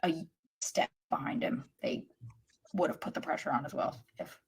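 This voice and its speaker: A woman with a slightly low voice talks casually as a sports podcast co-host. Her style is that of informal fan conversation. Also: normal speed, husky.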